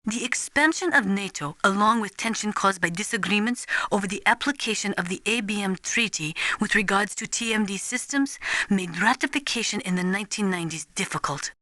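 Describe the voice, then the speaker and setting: An actress plays a serious female analyst briefing an agent in a video game. Her voice is bright and forceful, recorded very dry and close. She explains everything formally, in measured and precise phrasing. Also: mid-range voice, no echo, natural voice. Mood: serious, explanatory.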